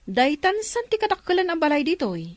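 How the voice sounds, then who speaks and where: A woman's voice: very high voice, sing-song, fairly quick, no room sound. An actress with a Filipino accent playing a skeptical, teasing young woman in a radio drama, full of exclamations. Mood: curious. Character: skeptical, mocking young woman, a gossiping friend.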